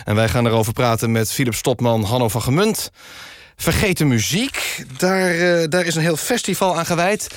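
A male radio host with a fairly high voice presents satirical news items. He stays deadpan and mock-serious, recorded in a dry studio. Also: normal speed, dry recording.

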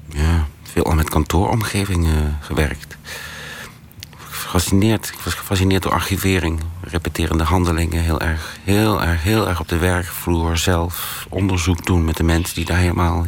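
An actor playing an earnest, self-important artist in a radio comedy, speaking in halting fragments with a deadpan delivery. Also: male voice, low-pitched, slight background noise. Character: earnest, self-important male artist recounting his oeuvre. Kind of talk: deadpan mock-documentary monologue.

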